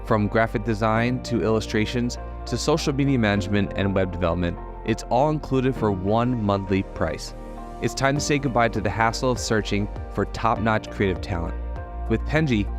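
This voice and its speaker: A man reading a scripted sponsor ad in a smooth, even promotional manner. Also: fairly low pitch. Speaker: ad announcer. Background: music bed.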